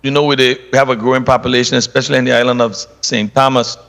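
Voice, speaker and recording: A man's voice: medium pitch, flat delivery, fast, creaky, fairly bright tone. Brief: male senator, plain questions, long room reverb. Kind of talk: committee hearing questioning.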